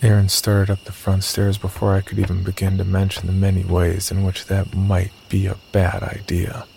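A man playing the uneasy, cautious narrator of a scripted horror story, telling it in a gravelly voice. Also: low voice, completely flat delivery, normal speed, warm tone, a little hiss or hum. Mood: tense, measured. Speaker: first-person narrator and lead character.

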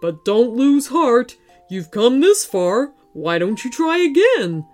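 A woman's voice: fairly high pitch, very animated, slow pace, a little mellow, faint music behind, voice pushed up. A woman voicing a large, kindly Stegosaurus in a children's story, earnest, pondering and a little puzzled. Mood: encouraging.

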